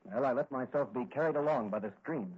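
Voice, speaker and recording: A man's voice: medium pitch, fast, darkish tone, breathy edge, natural voice. An actor playing a confident, hearty young-hero patrolman in an earnest 1940s radio acting style. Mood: confident, breezy. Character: confident, cheerful heroic male patrolman crime-fighter. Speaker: lead actor in radio serial. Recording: muffled lo-fi vintage radio recording.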